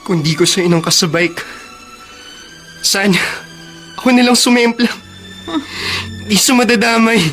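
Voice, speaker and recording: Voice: medium pitch, a little slow, long pauses, a bit bright, faint music behind, close to an everyday voice. Performer playing a tearful, guilt-ridden grieving child. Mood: tearful, guilty. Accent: Filipino. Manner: plaintive, tearful. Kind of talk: radio drama acting.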